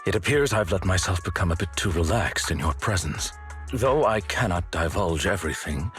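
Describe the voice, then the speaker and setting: A man playing a courteous, composed game butler who speaks in a formal, measured and polite way. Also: deep voice, fairly bright tone. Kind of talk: scripted video game voice acting.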